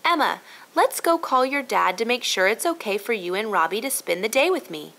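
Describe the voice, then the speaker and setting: Friendly storybook shopkeeper acted by a woman narrator, upbeat, instructive, with very lively pitch. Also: a little fast, vocal fry, heavily acted. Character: friendly, helpful woman shopkeeper explaining to children.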